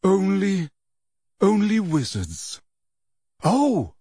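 A man's voice: a little high, sing-song, a little slow, husky, no room sound, clean studio sound, pitched above the performer's own voice, exaggerated voice. A man voicing an earnest, impatient boy for an audiobook, pressing and insistent in the way he talks. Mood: urgent. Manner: urgent, pressing questions. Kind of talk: dramatised audiobook character voice.

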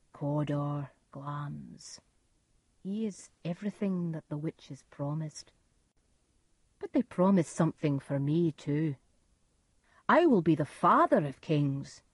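A suspicious storybook nobleman, played by a woman. He muses in a low, quiet voice, slow and brooding. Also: husky, no echo, full character voice. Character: wary, brooding Scottish nobleman.

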